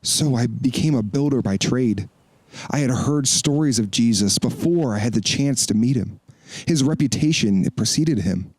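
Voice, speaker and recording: An actor playing a wary, questioning disciple and builder in an audio drama, speaking with earnest intensity. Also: male voice, mid-range voice, lively intonation, normal speed, a little mellow, no room sound, lightly acted, rough recording. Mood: earnest recollection.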